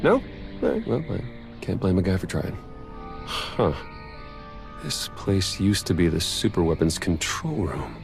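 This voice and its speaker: A man's voice: sits low, big pitch swings, unhurried, creaky, pauses often, faint music behind, full character voice. An actor playing a wry, casual male military agent in a cinematic video game.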